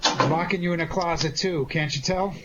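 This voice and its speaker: A cocky, wry detective on the run played by a man, with a lightish, higher male voice. He talks tough and breezy in old-time radio drama fashion, over clear background noise. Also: fairly quick, highs cut off, natural voice. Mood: breezy, mocking. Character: cocky, wisecracking male amateur sleuth on the run.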